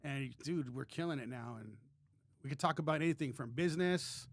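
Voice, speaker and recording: A man's voice: mid-range voice, fairly quick, dry recording. A man co-hosting a podcast in casual chat, rambling and joking with many restarts. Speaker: podcast co-host.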